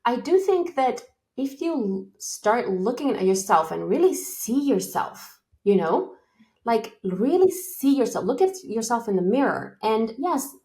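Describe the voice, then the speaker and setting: A woman's voice: on the high side, big pitch swings, unhurried, warm tone. A woman in her forties from Romania, speaking as an interview guest in an enthusiastic, encouraging way. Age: 40s. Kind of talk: motivational interview talk.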